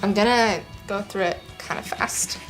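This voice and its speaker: A young woman talks loosely from her living room, her voice strained from losing it, with frequent ums and little laughs. Her pitch sits in a middling range, and soft music plays faintly behind her. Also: moderate pace, poor audio. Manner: rambling, many ums, laughs often. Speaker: art vlogger. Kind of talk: casual chat.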